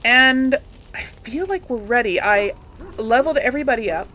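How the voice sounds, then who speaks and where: A woman's voice: on the high side, expressive, moderate pace, sharp tone, a little hiss or hum, poor audio. A woman giving casual, rambling let's-play commentary to viewers, thinking aloud as she goes. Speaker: gaming video host.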